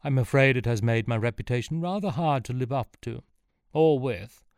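A man's voice: mid-range voice, normal speed, darkish tone, smooth, no room sound, studio quality, voice pushed up, close to an everyday voice. A man voicing an elderly eccentric professor, once an adventurer, speaking in a theatrical, old-fashioned way. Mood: wistful.

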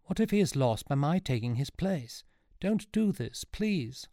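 A man's voice: medium pitch, animated, moderate pace, mellow, dry recording, clean studio sound, close to an everyday voice. A man voicing an anxious young male hero in a story, urgent and insistent as he pleads and questions. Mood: desperate pleading.

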